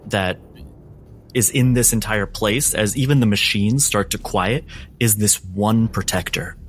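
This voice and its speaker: A man's voice: on the low side, measured pace, a little hiss or hum. A man working as game master, narrating a tabletop game in a theatrical, emphatic way.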